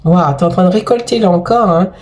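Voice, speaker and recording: A woman's voice: fairly low pitch, a little fast, darkish tone. A woman with a cold giving a chatty, effusive tarot card reading to her viewers, full of exclamations and fillers. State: has a cold. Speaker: tarot and oracle card reader.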